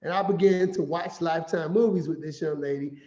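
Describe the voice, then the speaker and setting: A man giving a finance talk as an animated, playful storyteller who acts out quotes. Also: fairly high pitch, brisk. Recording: echoey room, slightly dull sound.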